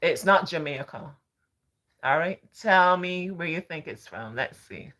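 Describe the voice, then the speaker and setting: A calm Barbadian woman hosting a live stream, talking casually in a gentle, sing-song way. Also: lowish voice.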